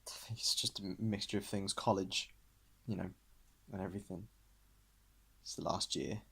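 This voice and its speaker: A man's voice: low voice, moderate pace, creaky, a bit bright, slightly rough. A young man talking candidly and personally to camera in a hesitant, reflective way. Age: young adult. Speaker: vlogger. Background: music.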